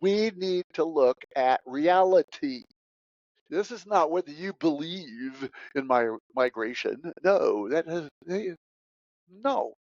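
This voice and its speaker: A man's voice: on the high side, animated, takes it slowly, darkish tone, no echo. A man who is a podcast guest, speaking in an emphatic, preachy way and repeating his key words. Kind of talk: interview talk. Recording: dry, dull lo-fi audio.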